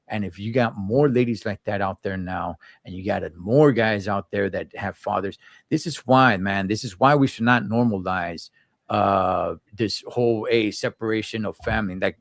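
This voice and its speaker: A man's voice: lowish voice, no echo. A man giving emphatic, opinionated views in a casual panel chat, often repeating words as he goes.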